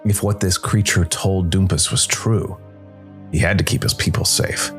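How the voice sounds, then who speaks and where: A man's voice: low-pitched, moderate pace. A man narrating a scripted fantasy tale dramatically as a storyteller. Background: music.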